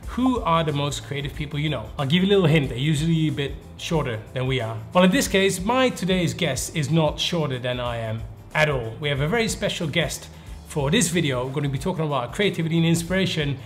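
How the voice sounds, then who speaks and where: A man's voice: fairly high pitch, animated, brisk, few pauses, soft background music. A man in his thirties from the Netherlands, a Dutch native speaker, hosting a casual interview in a playful, teasing way. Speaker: host. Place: indoor room with wooden wall.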